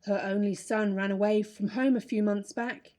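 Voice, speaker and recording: An actress playing a brisk, sour, sharp-tongued village landlady with some sympathy in an old-time radio drama. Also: mid-range voice, fairly even intonation, lightly acted. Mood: concerned.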